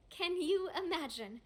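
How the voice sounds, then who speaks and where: A woman's voice: very high voice, darkish tone, exaggerated voice. A woman playing a cheerful, playfully teasing young lady leader in a video game, with a friendly manner.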